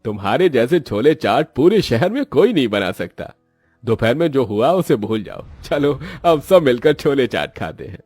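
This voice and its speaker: Husky, patient husband played by a man. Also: medium pitch, sing-song, normal speed, darkish tone, dry recording, studio quality, soft background music. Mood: mild, caring. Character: modest, patient husband of small means. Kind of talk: cartoon voice acting.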